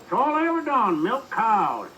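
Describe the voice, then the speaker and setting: A man's voice: very high voice, wildly swooping pitch, moderate pace, pushed and bright, exaggerated voice. A man playing a folksy, chatty country farmer with a rustic drawl in an old radio comedy. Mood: folksy, cheerful. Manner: drawling rustic hick talk. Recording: very noisy vintage radio tape.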